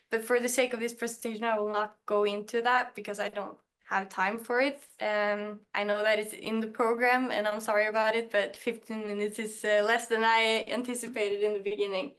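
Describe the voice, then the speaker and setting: A young woman from Norway with a Norwegian accent, giving a conference talk in her second language, hesitant with many pauses and restarts. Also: mid-range voice, a bit bright. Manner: hesitant, many fillers and restarts, self-deprecating. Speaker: conference speaker. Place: conference hall.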